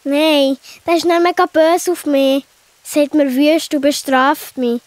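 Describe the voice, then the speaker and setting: Casting: woman as impulsive little girl, very high voice, close dry sound, children's audio drama. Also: steady tone, slow, no room sound. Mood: anxious. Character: Lila, impulsive young girl child character. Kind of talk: children's audio play acting.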